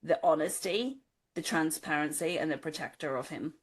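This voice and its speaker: A woman's voice: low voice, moderate pace, husky. A woman co-hosting a podcast, discussing a book in a casual, conversational way. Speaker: book club podcast co-host.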